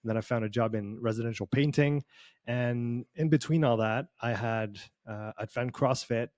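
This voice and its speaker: A man's voice: mid-range voice, normal speed, no echo, clean studio sound. Swiss man with a slight Canadian lilt. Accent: Swiss with a slight Canadian sound. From Switzerland. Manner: relaxed, rambling storytelling with many fillers. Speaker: performance coach guest. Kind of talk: casual podcast interview. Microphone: video call.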